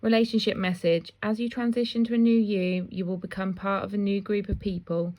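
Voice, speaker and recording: A woman with a slightly croaky voice gives a casual spiritual card reading, chatty and self-deprecating. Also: medium pitch, steady tone, brisk. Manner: chatty, warm asides, often laughs at herself. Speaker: intuitive card reader.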